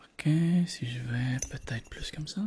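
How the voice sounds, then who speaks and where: A person with a Quebec French accent whispering softly in an ASMR roleplay as a clinician, close to the microphone, often repeating short words. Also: mid-range voice, steady tone, unhurried, heavy background noise. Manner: soft-spoken, murmured repetition of short words. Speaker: ASMR performer playing a clinician doing a hearing test.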